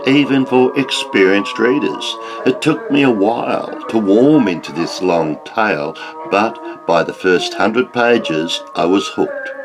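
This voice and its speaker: Older aussie guy reading a book review, slow and literary, kinda low voice, music behind. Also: rough recording. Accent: Australian. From Australia. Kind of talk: scripted review narration.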